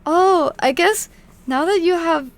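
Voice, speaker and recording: A woman's voice: very high-pitched, unhurried. A woman hosting a stream interview, giggly and encouraging in casual chat. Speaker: stream host.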